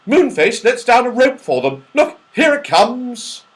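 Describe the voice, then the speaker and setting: Man as a high, chirpy storybook squirrel. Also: sing-song, unhurried, full character voice. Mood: brisk, cheery. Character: brisk, obliging talking red squirrel in old jumper.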